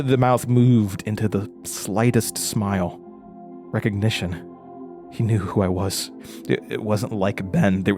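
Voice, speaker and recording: An actor playing a haunted, frightened man recounting a horror ordeal in a halting, intense way, as in an audio drama. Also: lowish voice, close to an everyday voice. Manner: halting, stammering over words, intense. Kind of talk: first-person horror audio drama narration.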